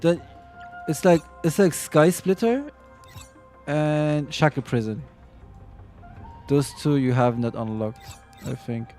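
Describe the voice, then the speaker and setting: A man's voice: a little high, dark tone. A man streaming a game, answering chat questions casually, stopping and restarting his sentences often. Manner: halting, full of restarts and fillers while answering chat. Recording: faint game music behind.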